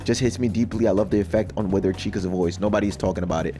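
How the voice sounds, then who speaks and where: A man's voice: low-pitched, rapid-fire, dark tone, soft background music. A man streaming a casual reaction to video game voice lines, talking in slang with joking exasperation.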